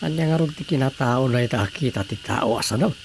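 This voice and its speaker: Gravelly and mid-pitched, this is a man acting an Ilocano village official in a radio comedy drama. He grumbles and muses aloud to himself. Faint insect ambience sits behind the voice. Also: fast talker, slight background noise, natural voice. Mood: pondering, grumbling.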